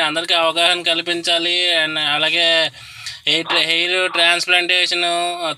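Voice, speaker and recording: A man with a very sharp, bright voice works through a mock sales pitch on a call. He sits high in pitch, halts often and stays polite but insistent, over faint noise and clicks. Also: rough recording. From Godavari districts, Andhra Pradesh, India. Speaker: prank caller posing as hospital telemarketer.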